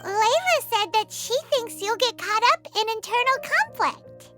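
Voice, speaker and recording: A tiny fairy sidekick from a video game, extremely high, squeaky and childlike. Her delivery bounces widely in pitch, candid and caring. Music plays clearly behind her. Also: animated, measured pace. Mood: supportive, concerned.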